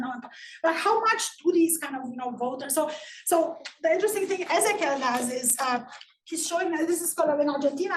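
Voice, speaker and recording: A woman speaker thinks aloud in an unscripted Q&A, circling her point with constant "sort of" and "right". Her high voice echoes around a lecture room. She sounds set back from the mic. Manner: rambling, heavy use of "sort of" and "right" fillers. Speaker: invited speaker answering audience questions. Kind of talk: academic talk Q&A, unscripted. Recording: echoey room, voice from far off, faint noise.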